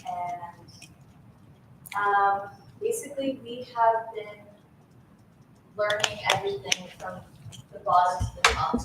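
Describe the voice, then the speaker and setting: Slow-talking young woman in echoey hall. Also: mid-range voice, very noisy. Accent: New Mexican English. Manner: halting, many fillers. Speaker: intern presenting to town board. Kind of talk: nervous public remarks. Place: town meeting hall. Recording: distant echoey meeting-room mic.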